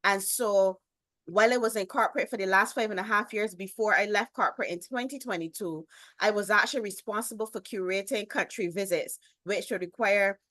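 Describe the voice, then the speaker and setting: A woman from Barbados in her thirties with a Barbadian accent, a guest telling her story in an interview with expressive emphasis. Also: mid-range voice, fairly quick, dry recording. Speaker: guest, business lifestyle strategist. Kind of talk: interview, personal introduction.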